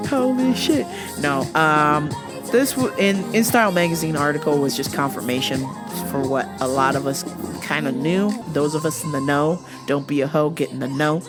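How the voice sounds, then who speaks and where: A woman hosting a solo podcast with a hoarse, worn-out voice, talking in a brash, profane, joking way over background music. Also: lowish voice. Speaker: podcast host.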